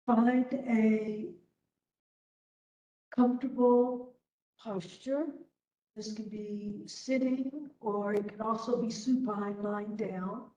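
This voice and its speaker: A woman leading a guided meditation as instructor, gently and unhurriedly, leaving long pauses between phrases. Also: a little high, fairly even intonation, dull audio. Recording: roomy, distant webinar audio.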